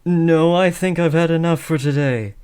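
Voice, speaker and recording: A man's voice: fairly high pitch, moderate pace, darkish tone, clear, resonant tone, natural voice. A young man acting an awkward, earnest teenage boy who is trying to be a supervillain, hesitant and often correcting himself, in a comedic audio roleplay. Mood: embarrassed protest.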